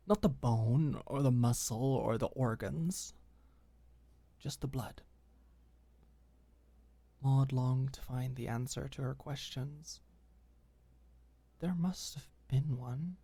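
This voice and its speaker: A woman reading scripted ASMR audiobook fiction softly and intimately, with deliberate pauses. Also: extremely low pitch, husky, no echo. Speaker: audiobook narrator.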